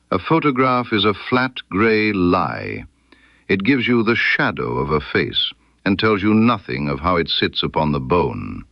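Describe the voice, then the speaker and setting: A man playing a proud, deep-voiced sculptor. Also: dry recording, highs cut off, exaggerated voice. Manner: blunt, emphatic, formal period speech. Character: proud, stubborn male wax sculptor, contemptuous of flattery. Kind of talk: character dialogue in an audiobook.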